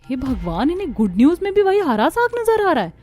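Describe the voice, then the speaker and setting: A woman's voice: high-pitched, huge pitch swings, brisk, a little mellow, soft background music, pitched above the performer's own voice. Woman as a plaintive, scheming young daughter-in-law. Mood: exasperated.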